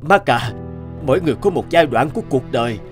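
Man acting gentle old farmer father, lower voice. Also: animated, quick pace, music in the background, lightly acted. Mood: concerned, advising. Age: older.

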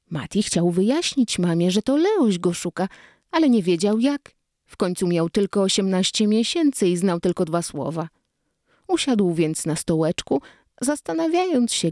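A woman's voice: fairly low pitch, a little mellow, dry recording, studio quality. A woman narrating a children's story expressively, acting out the dialogue.

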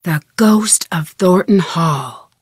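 An eerie ghost-story narrator, slow and husky. Her pitch sits slightly low, and the recording is dry. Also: no room sound, heavily acted. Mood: ominous. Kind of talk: trailer narration.

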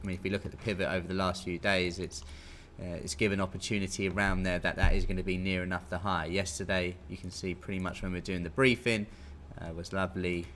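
A man's voice: deep voice, flat delivery, fast, hardly any pauses, fairly bright tone. A British man in his thirties giving an informal, conversational live market briefing as a technical analyst. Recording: clear background noise.

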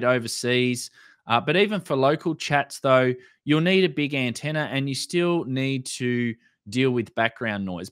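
A man's voice: mid-range voice, fairly bright tone, no echo. A man in his thirties with an Australian accent explains things in a plain, instructional tutorial style, close to the microphone. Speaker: presenter of an amateur radio channel. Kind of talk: explanatory tutorial narration over slides.

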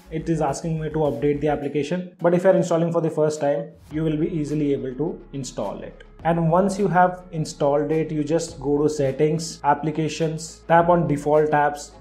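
A man's voice: a little high, mellow, roomy sound. A man hosting a tech channel, talking through a phone app demonstration in a tutorial voice-over. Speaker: tech video host. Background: background music.

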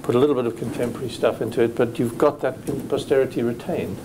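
A man's voice: medium pitch, fairly even intonation, fast, background noise. A man speaking as a panelist at a hotel industry conference, thoughtful and hesitant with many restarts.

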